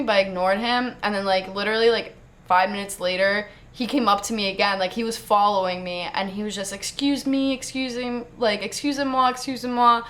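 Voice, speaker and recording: A young American woman telling a travel story to camera in a chatty, casual way, full of fillers and quick laughs. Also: mid-range voice, fairly bright tone. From United States. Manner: chatty, fast, lots of "like", laughs often. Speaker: travel vlogger.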